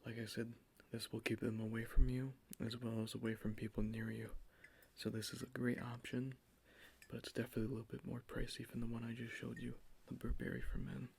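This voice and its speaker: A man speaks softly into a close microphone, sliding between quiet talk and breathy whispers as he plays a salesman in an ASMR roleplay. His voice has a gravelly, rough edge. He stays on a flat, even line, pitching his wares without rising or falling much. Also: fairly quick, a little hiss or hum. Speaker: ASMR creator playing a cologne salesman.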